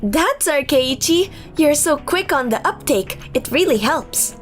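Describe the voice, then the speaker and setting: Here is a woman playing a young woman in a romantic comedy manga dub. The voice sits high and delivers scripted, dramatic dialogue. Music runs underneath. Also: lively intonation, unhurried. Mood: breezy, smug. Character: young women in a romcom: breezy cheating girlfriend, indignant loyal twin.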